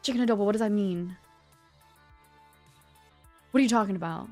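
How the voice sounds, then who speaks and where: A woman's voice: medium pitch, a little fast, a little mellow, soft background music. A woman livestreamer chatting casually with her viewers in a bubbly, chatty manner. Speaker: livestreamer, virtual streamer host.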